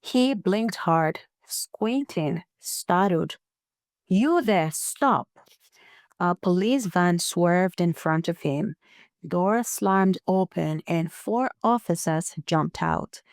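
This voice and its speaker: A woman narrates a story in a slightly low voice, taking her time over each beat. Her delivery is dramatic and suspenseful, built on short punchy phrases and wry casual asides. The recording is close and dry. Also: a little mellow, smooth, no echo.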